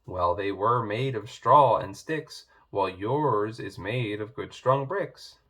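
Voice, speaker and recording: Big bad storybook wolf played by a male reader: gruff, hungry, pleading, slow, with lively swings in pitch. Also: heavily acted. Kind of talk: children's storybook read-aloud.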